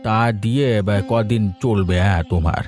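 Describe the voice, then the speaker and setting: A man's voice: fairly low pitch, normal speed, lightly acted. A man voicing a kindly elderly village landlord in a cartoon story. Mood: kindly, concerned. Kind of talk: cartoon voice acting. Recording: music behind the voice.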